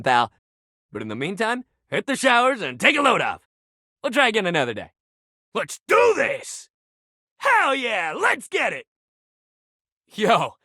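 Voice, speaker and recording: A man's voice: sits high, pushed and bright, no room sound, clean studio sound, exaggerated voice. A man voicing an upbeat, supportive fitness coach in a video game, talking in encouraging, casual bro slang. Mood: reassuring, then fired up. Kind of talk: video game character voice lines.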